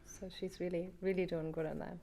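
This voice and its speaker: A woman's voice: on the low side, level tone, a little fast, warm tone, a little hiss or hum. A woman guest in an interview, speaking earnestly with some non-native phrasing. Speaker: guest, pet supplement founder. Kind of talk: casual interview chat.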